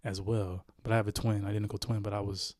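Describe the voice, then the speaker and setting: A man's voice: fairly low pitch, level tone, quick pace, darkish tone, no echo, studio quality. A man hosting a podcast interview, laid-back and chatty, with lots of fillers and tag questions. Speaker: podcast host. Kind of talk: casual interview chat.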